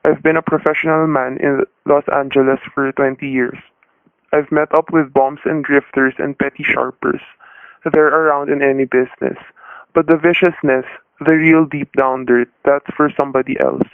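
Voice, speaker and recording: A man acting as a middle-aged everyman husband in a vintage radio thriller. His voice sits a little high for a man and he talks in plain, folksy, world-weary phrases. The recording is dry and narrow, like an old broadcast. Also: level tone, moderate pace, no room sound, lightly acted. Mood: grim, reflective. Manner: folksy, colloquial. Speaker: narrator and lead character. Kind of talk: old-time radio suspense acting, first-person narration.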